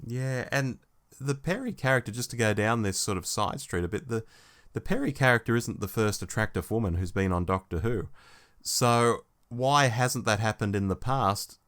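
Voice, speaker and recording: A man's voice: mid-range voice, moderate pace, a bit bright. A man co-hosting a podcast in casual review discussion, reflective and inclined to think through questions aloud. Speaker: podcast co-host reviewing audio drama.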